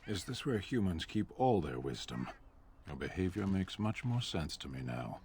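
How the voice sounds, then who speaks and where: A man playing a stoic, blunt outsider warrior in a fantasy game, dry and deliberate. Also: deep voice, big pitch swings, darkish tone, slight background noise. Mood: dry disdain.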